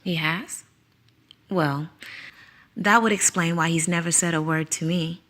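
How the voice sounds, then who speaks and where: A woman's voice: on the low side, fairly even intonation, measured pace. A woman playing Mona, a conflicted young teacher. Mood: curious. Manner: reflective, conflicted, soft-spoken. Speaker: narrator and lead character. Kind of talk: audio drama acting.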